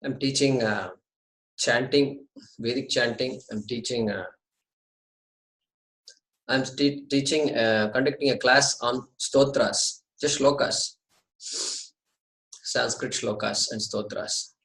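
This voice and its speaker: Brief: Indian man in his fifties, courteous teacher on a video call, mid pitch, roomy echo. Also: slow, pauses often, fairly bright tone. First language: Tamil. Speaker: Sanskrit teacher. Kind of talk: online class orientation talk. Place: his apartment. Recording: echoey room over video call.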